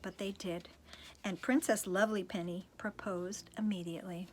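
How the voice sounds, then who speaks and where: A woman's voice: mid-range voice, fairly even intonation, moderate pace. Playful woman reading a picture book. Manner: playful, chuckling, asides to listeners. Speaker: storytime reader, teacher.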